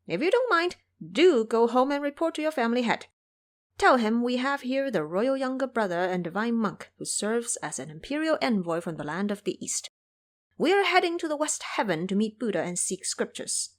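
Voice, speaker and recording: A boastful monkey monk, played by a woman, struts through an audio drama. The voice is raised and swings widely in pitch, brash and cheeky. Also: very animated, moderate pace. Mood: confident pitching. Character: cocky hideous monkey monk, brash monster-catcher.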